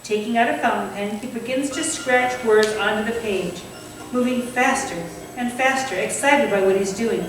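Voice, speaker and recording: A woman with a fairly high voice narrates stage directions from a script. She is some way from the microphone in a very echoey hall, with faint noise. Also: normal speed, far from the mic, music in the background. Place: indoor theatre space, staged reading.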